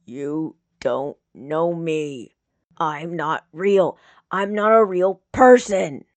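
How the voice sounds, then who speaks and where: Woman voicing a weak, low-voiced, weary young man. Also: extremely slow, a little mellow, dry recording. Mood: weary, despairing. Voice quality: weak, scraped-up, breathy murmur. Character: wounded, weary young man, withdrawn and self-loathing.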